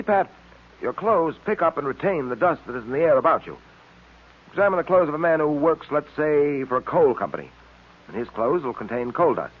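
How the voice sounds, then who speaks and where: Tinny old-radio American detective hero. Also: male voice, mid-range voice. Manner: composed, explanatory, instructive. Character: confident, brainy male police detective hero. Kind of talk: old radio serial acting. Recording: tinny, band-limited old radio recording.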